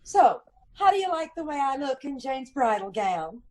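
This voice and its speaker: A woman's voice: sits high, sing-song, normal speed, darkish tone. A woman playing a witty, affectionate, teasing detective's wife in an old-time radio comedy mystery.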